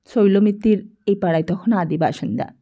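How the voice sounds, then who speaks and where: A woman's voice: mid-range voice, expressive, normal speed, highs cut off. A woman, reading a scripted story aloud as an audiobook narrator.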